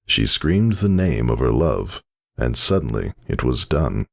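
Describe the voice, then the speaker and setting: A man giving a dramatic, theatrical story narration with intense, measured phrasing. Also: very deep voice, very animated, vocal fry.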